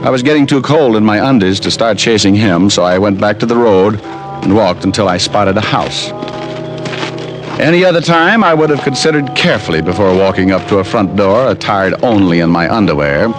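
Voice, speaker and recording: A man's voice: fairly low pitch, a little fast, music in the background, close to an everyday voice. An American man playing a wry, hard-boiled private detective who narrates his own case in an old radio drama. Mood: wry narration. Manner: wry, sardonic, flippant. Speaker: lead actor and narrator. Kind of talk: 1940s radio detective acting, first-person narration.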